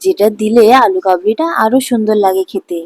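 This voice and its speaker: A woman voicing an honest, sensible, supportive wife in a cartoon story, with an expressive delivery. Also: mid-range voice, brisk, husky, no room sound, natural voice. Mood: caring. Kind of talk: Bengali cartoon story voice acting.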